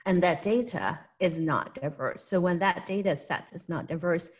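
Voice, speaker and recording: A woman's voice: fairly low pitch, moderate pace. A woman from Hong Kong with a Hong Kong English accent, a guest giving earnest, explanatory answers in an interview and often checking in with "right?".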